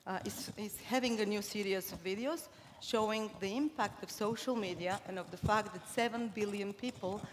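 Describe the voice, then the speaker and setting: A woman's voice: medium pitch, normal speed. Bulgarian woman giving a conference talk in english, lots of uhs and restarts, big echoey hall. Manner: hesitant, many fillers and restarts. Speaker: conference speaker. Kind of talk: conference presentation. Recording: reverberant hall, faint noise.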